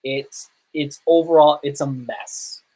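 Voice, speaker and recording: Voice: medium pitch, fairly even intonation, takes it slowly, warm tone, poor audio. Podcast host giving a casual film review. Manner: opinionated self-confessed fan. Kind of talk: casual movie review commentary.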